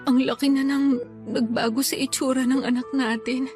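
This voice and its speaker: A high-voiced mother played by a woman, worn down by grief yet loving, speaks in a dramatic radio play. Soft music runs behind her. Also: little pitch movement, moderate pace, natural voice. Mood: weary grief. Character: grieving, weary, loving mother of a sick child.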